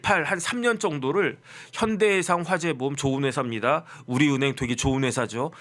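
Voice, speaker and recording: A man's voice: a little high, fairly bright tone. Korean lawyer in his fifties, rhetorical. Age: 50s. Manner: rhetorical questions answered himself, wry asides. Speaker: lawyer and channel host. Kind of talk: casual political commentary.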